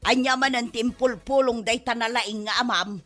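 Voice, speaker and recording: A woman's voice: a little high, normal speed, a bit bright, soft background music, lightly acted. A woman playing a suspicious, scolding wife and mother with an Ilocano accent in a comedy drama, nagging and questioning.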